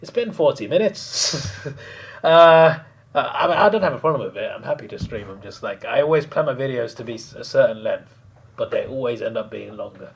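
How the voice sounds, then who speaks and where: Hoarse, gravelly man streaming. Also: mid-range voice, animated, a little fast. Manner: chatty, laughs often, rambling with restarts. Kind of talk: casual live-stream commentary.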